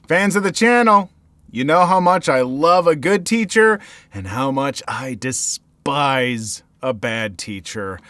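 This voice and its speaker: A man reads viewer stories out loud and comments on them as the channel's narrator. His voice sits a little high and moves a lot in pitch. The sound is close and dry, with no room around it. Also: no room sound. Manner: animated reading with commentary. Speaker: story narrator. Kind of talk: reading viewer stories aloud.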